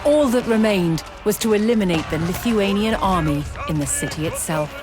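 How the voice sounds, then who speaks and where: A woman giving scripted, documentary-style narration for a historical strategy game, measured and formal in her storytelling. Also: mid-range voice, lively intonation. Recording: music behind the voice. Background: music.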